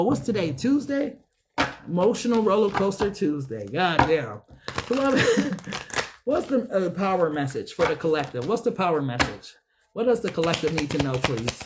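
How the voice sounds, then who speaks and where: A woman giving a tarot reading to her viewers, slangy and profane, preaching with loads of enthusiasm and a voice that swings high and low. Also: noisy background. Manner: talks straight to viewers as a group. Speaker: tarot reader. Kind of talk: casual tarot reading to camera.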